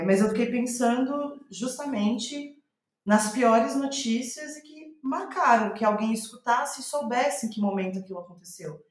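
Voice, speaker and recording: A Brazilian woman speaking as an interviewed playwright, reflecting in conversation and circling back to rephrase as she thinks aloud. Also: a little high. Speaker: guest playwright. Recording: roomy, echoing voice recording.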